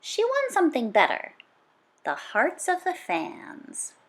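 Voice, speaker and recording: Animated, higher-pitched woman reading to children. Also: takes it slowly. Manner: emphatic, conversational, stresses repeated words. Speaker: teacher reading a picture book aloud to children. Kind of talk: animated children's read-aloud.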